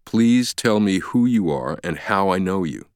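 A polite male professor in an audiobook thriller, read by a male narrator. He is calm and questioning, with measured phrasing and a mobile pitch, recorded close and dry. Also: no room sound.

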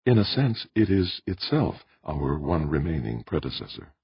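A man voicing a stiff, solemn old man, a formal and deliberate guide in a science fiction audiobook. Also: extremely low pitch, sing-song, breathy edge, no echo, highs cut off, heavily acted.

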